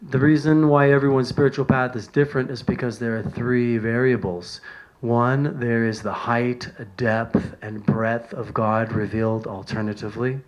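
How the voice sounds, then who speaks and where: A man from San Francisco giving a self-recorded talk from notes, earnest and halting, with many ums and uhs. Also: mid-range voice, fairly even intonation, muffled recording. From San Francisco, California. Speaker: video lecturer on spiritual writings. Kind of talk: casual talk from bullet notes.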